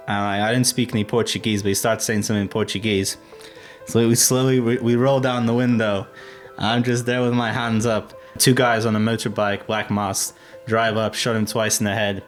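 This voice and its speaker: A man's voice: fairly low pitch, level tone, normal speed, faint music behind. A man in his thirties, born in Russia, with a mixed English and American accent, telling his story as an interview guest in a loose, sweary way, full of fillers. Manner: casual, sweary, many fillers like "like".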